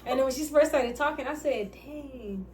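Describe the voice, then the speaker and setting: A woman's voice: high-pitched, moderate pace, darkish tone. Light-voiced woman, rambling from off mic. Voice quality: light, somewhat feminine voice. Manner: rambling, loses her train of thought. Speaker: vlogger answering couple questions. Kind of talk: casual mukbang chat. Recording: distant mic, faint hiss.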